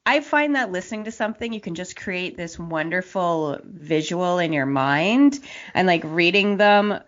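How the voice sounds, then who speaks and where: Lowish-voiced woman hosting an interview. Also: animated, moderate pace, a bit bright. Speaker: podcast host, interviewer. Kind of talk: conversational interviewing. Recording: slightly dull, lo-fi sound.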